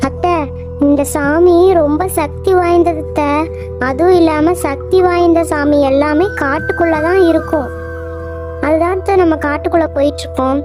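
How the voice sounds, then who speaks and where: Woman doing a squeaky fretful young housewife. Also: fairly even intonation, moderate pace, lightly acted. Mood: coaxing. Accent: Tamil. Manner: pleading, fretful, keeps repeating "athai". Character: young Tamil housewife Thamayanthi, anxious and fussy; also a ghost disguised as her. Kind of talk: cartoon voice acting. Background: background music.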